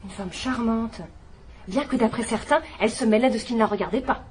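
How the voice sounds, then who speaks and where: An actress playing a fussy, patronizing live-in companion in a dubbed drama, with a gossipy, condescending manner. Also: female voice, fairly high pitch, fairly quick, close to an everyday voice. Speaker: companion and housekeeper.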